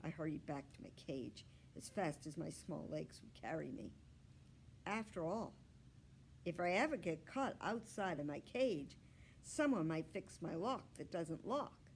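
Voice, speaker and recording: Lady reading a kids book aloud, slow and careful, really lively pitch, faint noise behind. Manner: expressive, deliberate narration. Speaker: library storyteller reading aloud. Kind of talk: children's story read-aloud.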